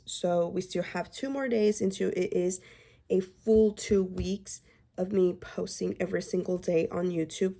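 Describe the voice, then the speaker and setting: Woman YouTube creator, casual to-camera chat, creaky mellow tone, loose and full of fillers. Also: on the low side. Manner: rambling with many fillers and restarts. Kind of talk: casual vlog talk to camera.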